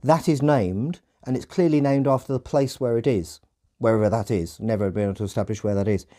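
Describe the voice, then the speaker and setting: A man's voice: mid-range voice, brisk, mellow. A man hosting a podcast in casual discussion, reflective and often correcting himself as he goes. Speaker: podcast host.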